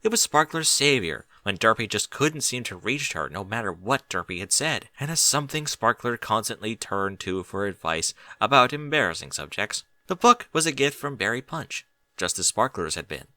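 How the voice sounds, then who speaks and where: Bright-voiced man narrating a story with lively pitch. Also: moderate pace, no echo. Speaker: audiobook narrator reading fan fiction.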